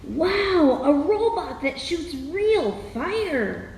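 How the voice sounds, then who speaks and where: Woman as a high-pitched, gushing little boy. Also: sing-song, drawn-out words, a little mellow, exaggerated voice. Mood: eager, amazed. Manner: gushing, wide-eyed. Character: excitable wholesome young son in 1950s ad family. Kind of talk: vintage radio commercial acting. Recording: roomy echo, faint noise.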